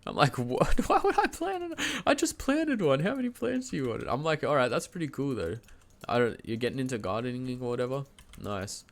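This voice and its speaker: A man's voice: medium pitch, very animated, a little fast. A young man with a cold and a stuffy, nasal voice, casually rambling through a story to his live stream chat, full of fillers and restarts, standing and moving around as he talks. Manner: loose and chatty, lots of fillers and restarts, laughs mid-sentence. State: sick with a cold, congested and nasal. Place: home streaming setup.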